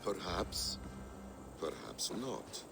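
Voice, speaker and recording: An actor playing a calm, shrewd spy in a video game, with a smooth, insinuating manner. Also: male voice, deep voice, very slow, loud music behind the voice, exaggerated voice. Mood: sly, assured. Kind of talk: video game dramatic acting.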